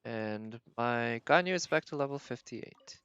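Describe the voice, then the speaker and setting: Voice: medium pitch, animated, unhurried, fairly bright tone, clean tone, no echo, muffled recording. A game livestream host giving casual live commentary, thinking aloud in a tentative, musing way.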